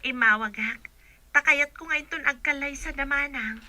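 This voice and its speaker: A woman's voice: high voice, moderate pace, pushed and bright. A woman with a Filipino accent playing a sweet young working daughter in a radio drama. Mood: dutiful, gentle.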